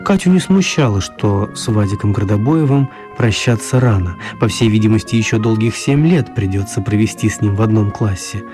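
A man narrating a children's radio story as a wry storyteller, expressive and mock-serious, with deliberate pauses. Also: on the low side, music in the background, lightly acted.

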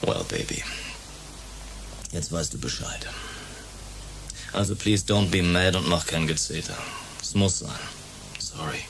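An actor playing a slick, cowardly young pop star villain in a sci-fi radio drama, theatrical and peppered with English slang. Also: male voice, deep voice, lively intonation, measured pace, gravelly, long pauses, exaggerated voice. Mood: smug, mocking. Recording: noisy background ambience.

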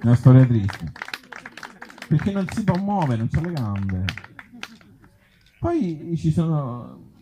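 A man's voice: fairly high pitch, very animated, takes it slowly, long pauses, noisy background. A man reading his own absurd short stories live, breaking off into conversational, self-deprecating asides to the audience. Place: live outdoor festival event before an audience.

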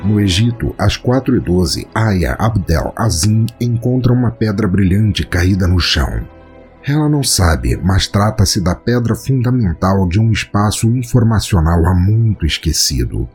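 A man with a Brazilian Portuguese accent narrates a scripted horror story in a measured, solemn, dramatic way. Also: fairly low pitch, animated, darkish tone, faint music behind. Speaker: podcast narrator.

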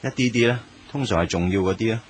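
A man's voice: on the low side, quick pace, a little hiss or hum, lightly acted. A man acting as a wistful young man in a radio drama, speaking and narrating in Hong Kong Cantonese. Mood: startled, irritated.

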